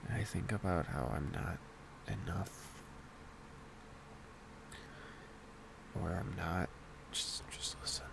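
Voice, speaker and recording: Man playing a devoted boyfriend, low-pitched, very slow and halting, tender ASMR murmur, fan noise behind. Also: exaggerated voice. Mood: worried, tender, then whispered devotion. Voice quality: gravelly, breathy, often dropping to a whisper. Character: worried, devoted boyfriend comforting his partner. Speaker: ASMR roleplay performer. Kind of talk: intimate boyfriend ASMR roleplay. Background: whirring fan.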